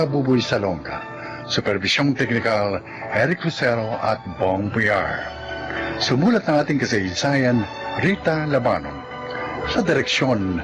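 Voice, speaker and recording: A man announcing in a formal, scripted, declamatory radio drama style. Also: mid-range voice. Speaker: announcer reading closing credits.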